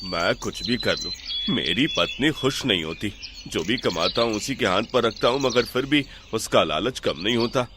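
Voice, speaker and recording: A man's voice: fairly low pitch, lively intonation, normal speed, background noise, close to an everyday voice. A man playing a poor, God-fearing fisherman husband in a cartoon moral story, speaking in an earnest, plaintive way. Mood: weary, pleading. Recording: loud music bed behind voice. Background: music.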